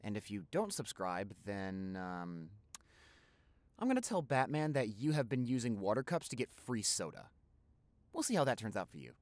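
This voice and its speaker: An American man hosting his channel with a casual, joking, low-key intro. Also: sing-song, normal speed, dry recording. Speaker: channel host.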